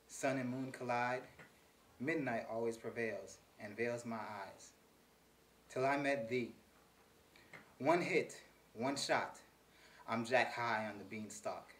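Guy reading a poem out loud, medium pitch, bit far from the mic. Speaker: poet reading own work. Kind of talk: spoken-word poetry reading. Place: small theater, live reading. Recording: distant mic, faint noise.

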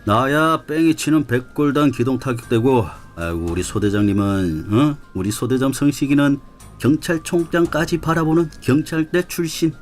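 A man playing a loud, pushy young plainclothes riot cop who talks nonstop, in a radio drama. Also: medium pitch, normal speed, lightly acted. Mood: boisterous. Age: young adult. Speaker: plainclothes police. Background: background music.

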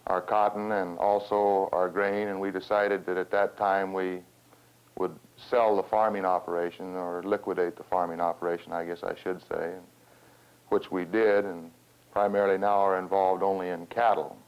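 An American man speaks in a very low voice with little rise or fall, picking his way haltingly through long, roundabout sentences. He sounds measured, as in a formal interview. The sound is slightly dull, like an old film soundtrack. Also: little pitch movement, clean tone. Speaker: farm organization representative and cattle rancher. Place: small wood-panelled room, seated at a table.

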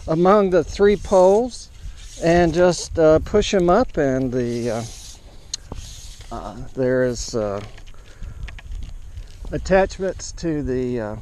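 A man narrating an outdoor setup vlog as an amateur radio operator, in a halting, matter-of-fact way. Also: on the high side, a little mellow, a little hiss or hum. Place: large open grassy park field, outdoors.

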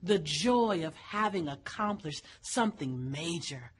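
A woman motivational speaker leads a guided meditation in an unscripted, soothing and deliberate way, leaning on emphatic phrases and repetition. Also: lowish voice, animated, a little hiss or hum.

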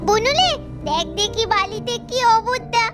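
A woman's voice: very high voice, expressive, a little slow. A woman doing a sing-song little girl. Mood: childish. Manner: childish, sing-song, drawn out. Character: chatty young girl, older sister. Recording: loud children's music behind.

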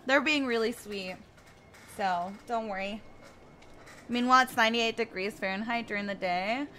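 A chatty woman in her late twenties streams a game and talks casually with her viewers, laughing easily and reading their messages aloud. Her voice sits a little high and sounds bright and forward. A faint hiss stays under her speech. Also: moderate pace. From United States. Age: 20s. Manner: chatty, laughs often, reads chat aloud. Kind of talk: casual chat with stream viewers while gaming.

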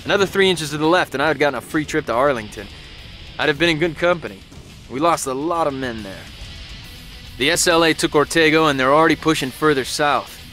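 An actor playing a weary but cocky American infantry soldier, narrating in wry, slangy style for a game cutscene. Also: male voice, a little high, brisk, pushed and bright, faint music behind. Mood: grim, wry.

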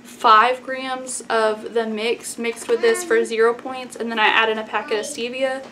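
Chatty high-voiced young American woman vlogger. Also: flat delivery, measured pace, crowd noise. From Texas, United States. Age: 20s. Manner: chatty, informal, says "like" and "y'all" often. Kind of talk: casual grocery haul chat to camera. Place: small plain indoor room. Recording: clearly noisy room background.

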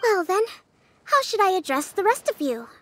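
A woman voicing a gracious, composed young female general in a video game, with a soft, polite delivery. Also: very high voice, very animated, moderate pace, no room sound, exaggerated voice. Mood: gracious, amused.

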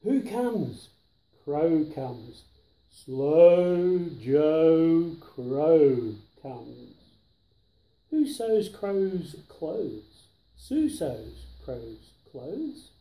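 An older man reading a children's picture book aloud with deliberate, emphatic delivery of the rhymes, recorded in a living room. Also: on the high side, very animated, mellow, reverberant. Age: 60s or 70s.

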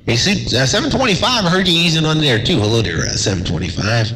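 A man chatting on CB radio from a desert station, laid-back and drawling, with a slightly raspy voice from a cold. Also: fairly high pitch, big pitch swings, fast, big-room sound, background noise. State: has a cold. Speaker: CB radio operator.

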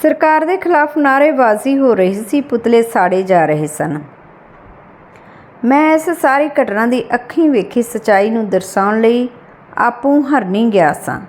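A woman's voice: fairly high pitch, expressive, unhurried, a little mellow. A woman reading a scripted short story aloud as an audiobook narrator.

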